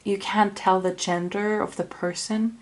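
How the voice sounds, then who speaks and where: A woman's voice: mid-range voice, steady tone, a little slow, poor audio. A woman with a Finnish accent, a native Finnish speaker, giving a casual tarot reading to camera. She rambles, corrects herself and keeps apologizing. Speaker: tarot reader.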